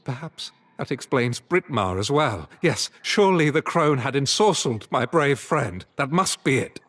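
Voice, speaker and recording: An actor playing an earnest, troubled adventurer in a fantasy game, speaking in formal, old-fashioned phrasing. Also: male voice, medium pitch, lively intonation, moderate pace, a bit bright. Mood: agitated. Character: earnest, troubled male adventurer, loyal to a missing friend. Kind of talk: fantasy game dialogue acting.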